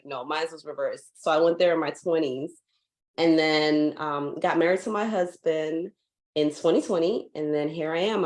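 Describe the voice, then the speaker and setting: Low voice, young woman from guyana chatting over a video call, lots of "like", gets animated telling stories. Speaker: guest, foundation founder. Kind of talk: casual interview conversation. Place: home in Hawaii.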